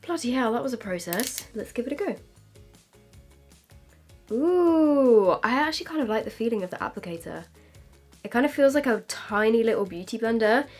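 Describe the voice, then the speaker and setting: A woman's voice: on the high side, animated, moderate pace, long pauses, a little mellow, soft background music. A young British woman with a slightly stuffy voice from a cold, casually reviewing makeup to camera in a chatty, filler-filled way. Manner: chatty, filler-heavy with frequent "like". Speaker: beauty vlogger.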